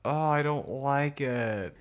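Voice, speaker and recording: Casual game streamer with a medium-pitched voice. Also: slow, a little hiss or hum. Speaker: video game streamer reacting to a story quest. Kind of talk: casual, spontaneous reaction commentary. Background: game music.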